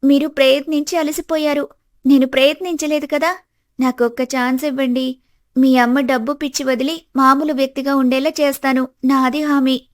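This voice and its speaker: A woman voicing a clever, outspoken young daughter-in-law in an animated family story, earnest and firm. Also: high voice, normal speed, close to an everyday voice. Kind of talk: animated family drama dialogue.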